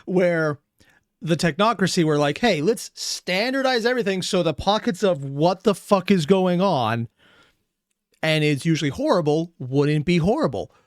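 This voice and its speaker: A man in casual reaction commentary, voice worn a little hoarse, talking in a slightly raised register. He rambles through asides and swears freely. The sound is close and dry, with no room around it. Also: high voice, normal speed, no room sound. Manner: rambling, profane, animated asides. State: losing his voice. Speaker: reaction streamer.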